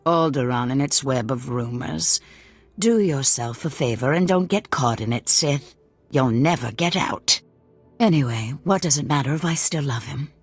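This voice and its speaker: A proud, world-weary assassin lady of middle age from a space fantasy game. Her voice is low and raspy with a sardonic edge, over soft music. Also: expressive, moderate pace, no room sound, heavily acted. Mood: bitter, weary. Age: middle-aged. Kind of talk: video game voice acting.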